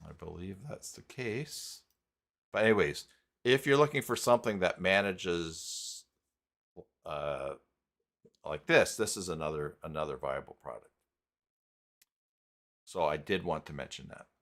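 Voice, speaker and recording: A man hosting a live stream tutorial in a casual, halting way, thinking aloud as he goes. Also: low-pitched, a bit bright. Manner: halting, thinking aloud while clicking around. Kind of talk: casual live tutorial talk, reading chat.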